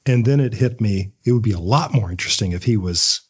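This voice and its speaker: Male interview guest, writer type, husky and reflective, conversational delivery, slightly dull recording. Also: on the low side, quick pace. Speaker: interview guest, audio drama writer and voice actor.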